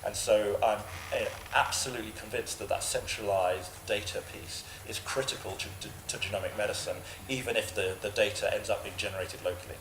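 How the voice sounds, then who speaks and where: A man with a fairly low voice answers questions on an expert panel, stumbling over repeated words as he thinks aloud. He speaks in a large echoey room, and clear background noise sits under his voice. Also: steady tone, fairly quick, hardly any pauses. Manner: hesitant, many repeated words. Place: large parliamentary committee room.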